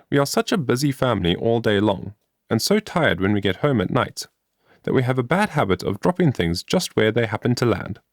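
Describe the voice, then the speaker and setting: A man's voice: mid-range voice, creaky, no room sound, studio quality. A man voicing a cheerful, industrious young woman in a storybook, in a chatty, earnest manner. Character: cheerful, industrious young woman explaining her homemade invention.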